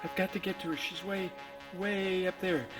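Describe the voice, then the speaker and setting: A man's voice: sits high, little pitch movement, normal speed, music in the background, pitched above the performer's own voice, lightly acted. A man voicing a frantic, breathless little snail who stammers and repeats himself urgently. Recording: echoey hall with long reverb.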